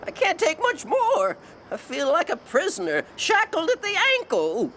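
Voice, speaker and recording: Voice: high-pitched, wildly swooping pitch, normal speed, a bit bright, a little hiss or hum, full character voice. An actor voicing a jittery, whiny prisoner ghost who stammers and pleads, desperate to escape, in an audio drama. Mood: anxious, whiny. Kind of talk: theatrical audio drama acting.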